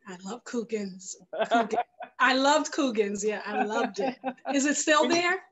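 Higher-pitched woman, casual guest. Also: animated, measured pace, a crowd in the background. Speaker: filmmaker, interview guest. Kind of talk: casual interview introduction and chat. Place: indoor room, video call.

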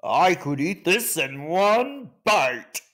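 Man doing a slow, pompous elephant. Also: high voice, very animated, a bit bright, pitched above the performer's own voice, heavily acted. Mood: smug. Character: pompous, self-satisfied male elephant in a storybook.

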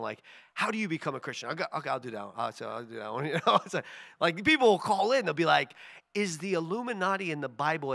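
Pastor guy, 30s, raspy worn-out voice, kinda high and gravelly, joking around at the pulpit. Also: no echo. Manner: joking, storytelling, animated. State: raspy, tired voice. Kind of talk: casual sermon announcements. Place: church stage. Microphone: stand mic at a pulpit.

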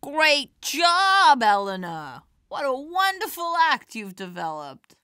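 A woman playing a sweet, encouraging grandmother in an audio drama, speaking in a kindly, measured way. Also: high-pitched, big pitch swings, pushed and bright, heavily acted. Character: sweet, encouraging granny who gently mediates.

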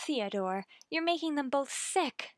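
Gentle, high-voiced concerned woman played by an actress. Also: expressive, measured pace, dry recording, clean studio sound. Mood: hushed, soothing. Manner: soothing, earnest. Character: gentle, concerned woman soothing a troubled household. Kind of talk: old-time radio play acting.

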